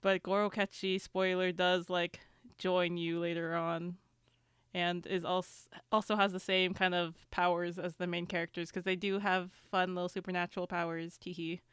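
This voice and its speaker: A woman's voice: on the low side, level tone, moderate pace, a bit bright, smooth, no echo, clean studio sound. A woman chatting casually and explaining things in a rambling, slangy way, swearing freely. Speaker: podcast panelist. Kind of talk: casual chat.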